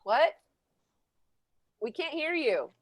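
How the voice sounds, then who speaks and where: A woman's voice: high voice, big pitch swings, slow, no room sound. A woman bantering as a panelist in an improvised comedy game show, on a very noisy, clicky recording.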